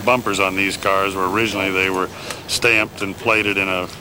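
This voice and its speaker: A man with a lowish voice speaks haltingly, filling his pauses with many "uh"s. He sounds like he is talking at an outdoor car show. Heavy background noise runs under the whole recording. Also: little pitch movement. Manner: hesitant, many filler pauses. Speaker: classic car enthusiast interviewed at a convention. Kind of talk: casual interview.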